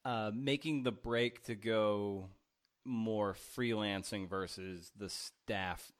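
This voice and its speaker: A man's voice: lowish voice, steady tone, slow. Man casually hosting an interview. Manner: informal, colloquial. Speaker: podcast interviewer, host.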